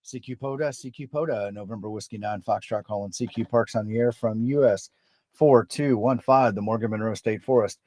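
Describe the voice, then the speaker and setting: An American man hosting a casual, unscripted livestream as an amateur radio operator, rambling in a relaxed way. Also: lowish voice, fairly quick, a little hiss or hum. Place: outdoors at a state forest park.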